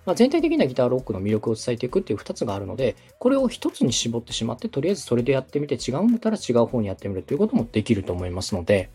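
A man's voice: medium pitch, huge pitch swings, rapid-fire, hardly any pauses, mellow, slightly rough, faint music behind. A man giving structured, polite advice as a radio director coaching a podcaster, methodical and instructive. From Osaka, Japan. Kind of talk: structured advisory talk, polite explanation.

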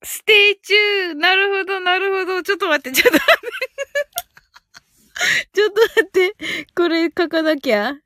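High-voiced woman chatting on a live stream. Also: moderate pace, long pauses, sharp tone, no echo, clean studio sound. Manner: giggly, cheerful, often thinking aloud. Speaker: host of a live audio stream. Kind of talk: casual live-stream chat, reading out listener comments.

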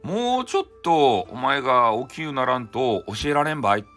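A man voicing a caring, solemn old grandmother who speaks deliberately and emphatically in folksy Hakata dialect. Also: mid-range voice, big pitch swings, a bit bright. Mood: reluctant.

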